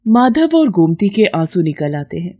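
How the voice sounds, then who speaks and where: A woman's voice: lowish voice, sing-song, normal speed, muffled recording. A woman narrating a scripted moral story as its storyteller.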